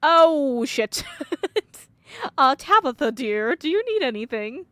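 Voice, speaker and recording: A woman streamer reading a game aloud and reacting to it in an expressive, emotional way. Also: high-pitched, slow, no echo, clean studio sound.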